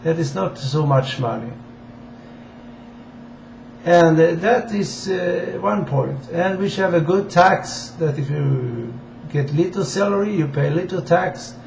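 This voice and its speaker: Slow-talking Swedish man, echoey dull recording. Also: on the high side, vocal fry, a little mellow. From Sweden. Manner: halting, deliberate delivery in non-native English. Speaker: amateur political campaigner addressing viewers. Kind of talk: informal political address to camera. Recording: dull, echoey room with faint hiss.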